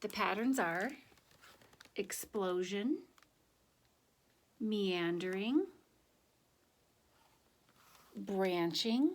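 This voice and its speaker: A woman reading a children's picture book aloud, with deliberate, expressive phrasing and conversational asides to young listeners. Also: medium pitch. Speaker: children's story reader.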